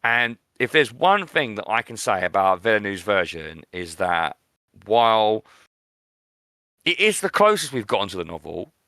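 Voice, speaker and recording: A man's voice: fairly low pitch, big pitch swings, moderate pace, bright, forceful tone, dry recording. A man hosting a podcast in a casual film discussion, conversational and repeating phrases for emphasis. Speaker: podcast host.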